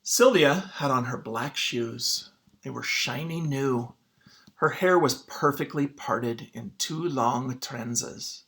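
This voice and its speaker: A man's voice: mid-range voice, slow, a bit bright. A man speaking as a teacher to his students, in an informal read-aloud lesson style.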